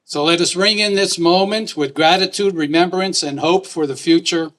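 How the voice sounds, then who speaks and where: A man's voice: on the high side, a bit bright. Formal man giving a prepared speech. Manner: formal, measured. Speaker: county commissioner. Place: outdoors at a courthouse.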